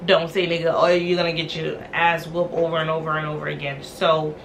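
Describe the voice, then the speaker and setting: A woman's voice: deep voice, level tone, a little fast, slight background noise, low-quality recording. A woman casually recapping a reality show, chatty and blunt with slang and frequent laughs. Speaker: recap vlogger.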